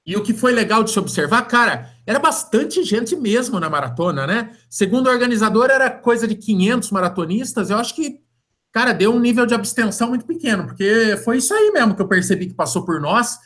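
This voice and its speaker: A man hosts a running podcast with a high, bright voice. He jokes and swears freely, rambling and circling back over the same phrases. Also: very animated, a little fast. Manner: joking, profane, rambling. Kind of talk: casual chat.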